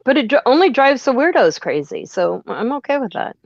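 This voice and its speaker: A woman co-hosting a casual group chat show, laid-back and quick to laugh. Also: high voice, very animated, creaky, dry recording, lo-fi sound. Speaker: podcast co-host.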